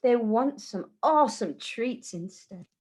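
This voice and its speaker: Woman, higher-pitched, very slow pace, sing-song children's storyteller, rhyming read-aloud. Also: lively intonation, a little mellow. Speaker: storyteller, teacher.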